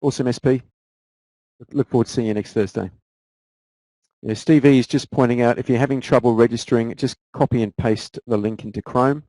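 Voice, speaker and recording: A man's voice: medium pitch, steady tone, a little fast, dark tone, no echo, rough recording. A man with an Australian accent, a guest trader answering viewer questions in a casual live Q&A, often repeating words as he restarts sentences.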